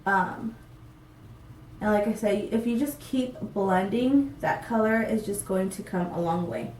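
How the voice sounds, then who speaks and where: A woman's voice: mid-range voice, measured pace, darkish tone, far from the mic, a little hiss or hum. A young woman with a stuffy, congested voice from a cold, chatting casually through a makeup tutorial with many ums. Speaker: beauty vlogger. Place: small room, plain backdrop. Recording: roomy and off-mic.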